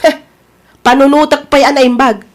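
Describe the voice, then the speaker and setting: A woman's voice: sits high, steady tone, moderate pace, natural voice. A woman with an Ilocano accent acting a resolute, self-respecting wife back from working abroad in a drama. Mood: hurt, firm.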